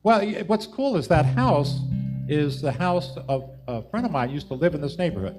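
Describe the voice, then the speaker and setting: A man's voice: on the high side, expressive, moderate pace. A man hosting a live music show, chatting casually with guests in a teasing, jokey way. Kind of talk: casual chat, interviewing guests.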